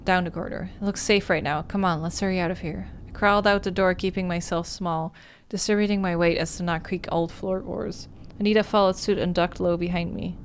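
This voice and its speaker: A woman with a slightly low voice streams a visual novel playthrough. She speaks like an expressive storyteller, stumbling a little as she reads. Some background noise and ambience sits behind her. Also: fairly quick, hardly any pauses. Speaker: game streamer. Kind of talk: livestream playthrough, reading visual novel narration aloud.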